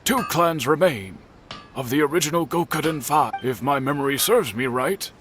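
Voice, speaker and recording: An actor playing a solemn, courteous swordsmith in a video game, telling old tales in a formal, measured, grave manner. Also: male voice, fairly high pitch, expressive, a bit bright. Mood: composed, explanatory. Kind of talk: video game dramatic storytelling. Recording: game music behind the voice. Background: music.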